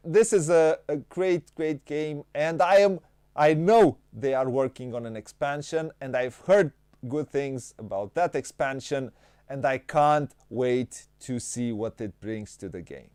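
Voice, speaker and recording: A man hosting a casual board game chat, speaking haltingly as he searches for words. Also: mid-range voice, darkish tone, smooth, dry recording, studio quality. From Romania. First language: Romanian. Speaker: host of a board game show.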